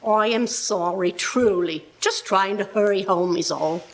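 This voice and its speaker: A woman's voice: mid-range voice, a little slow, natural voice, poor audio. A woman playing a flustered female shopper in the streets of London in a live radio play. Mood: apologetic.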